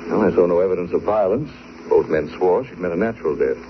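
An actor playing a suave, assured amateur sleuth in a 1940s radio drama, speaking with urbane confidence. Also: male voice, low voice, quick pace, natural voice. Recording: muffled, noisy vintage radio recording.

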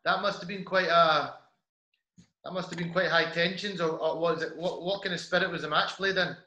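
A man interviewing, mostly giving short affirmations and asking halting follow-up questions. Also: fairly high pitch, fairly even intonation, pushed and bright, reverberant. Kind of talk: interview backchanneling.

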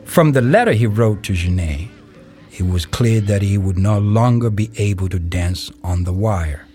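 A man reading scripted narration for an audio play in a measured storytelling way. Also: lowish voice, a little mellow, slight background noise. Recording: music behind the voice. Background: music.

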